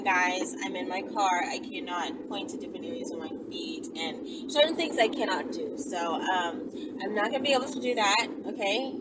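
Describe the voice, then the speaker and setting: A woman vlogger chatting casually to her viewers from inside a car, informal and digressive as she thinks aloud. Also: medium pitch. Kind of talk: casual rambling chat to viewers. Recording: noisy car interior, clear vehicle noise.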